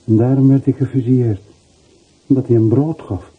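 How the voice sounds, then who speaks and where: An actor playing a weary, regretful middle-aged former soldier over a telephone in a radio play, subdued, in halting short phrases. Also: male voice, mid-range voice, fairly even intonation, husky. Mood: subdued, questioning. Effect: telephone voice filter. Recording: muffled phone-like old radio recording.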